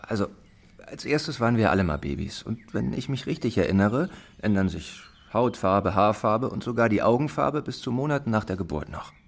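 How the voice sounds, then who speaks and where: A man playing an easygoing, hapless, joking father in a radio play, with a husky voice. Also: on the low side, expressive, fairly quick. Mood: deadpan, explaining.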